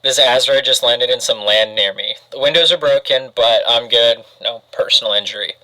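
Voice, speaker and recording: A man's voice: mid-range voice, a little fast, pushed and bright, lightly acted, low-quality recording. A man playing a brash, curious young guy who talks in a slangy, offhand way, as if vlogging. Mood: excited, casual. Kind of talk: casual vlog-style acting.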